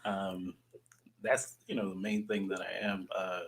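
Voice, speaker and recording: A man with a Zimbabwean accent introducing himself calmly, with a few "uh"s as he talks. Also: sits low, a little slow. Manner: calm, hesitant with "uh"s. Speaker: guest. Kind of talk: casual chat.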